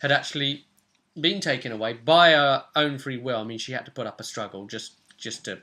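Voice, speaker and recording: A man's voice: mid-range voice, brisk, sharp tone. A man in his twenties casually recapping a tabletop role-play session as game master, recorded on a webcam in a room at home. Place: small domestic room indoors.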